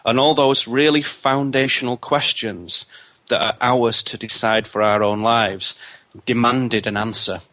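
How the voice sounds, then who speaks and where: A man speaking as a guest in a radio interview, measured and reflective, often repeating words as he gathers his thoughts. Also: medium pitch, steady tone, fairly bright tone. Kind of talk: reflective spiritual interview talk.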